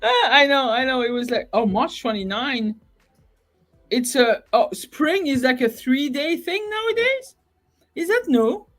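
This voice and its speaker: A chatty woman with a slightly high voice co-hosts a casual real estate talk. She laughs easily and often trails off mid-thought. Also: animated, unhurried, fairly bright tone, faint music behind. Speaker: real estate podcast co-host. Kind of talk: casual podcast chat.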